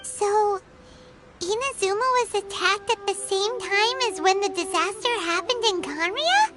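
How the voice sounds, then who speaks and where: A woman voicing a tiny chirpy female fairy companion in a video game, with a squeaky, childlike voice and a habit of naming herself in the third person. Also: animated, measured pace. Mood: puzzled. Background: loud game music.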